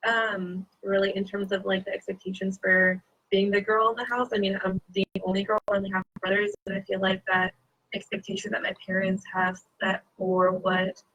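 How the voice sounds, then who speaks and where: A woman's voice: mid-range voice, level tone, moderate pace, fairly bright tone, dry recording, rough recording. A woman on a discussion panel, explaining her thoughts steadily. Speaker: panel member.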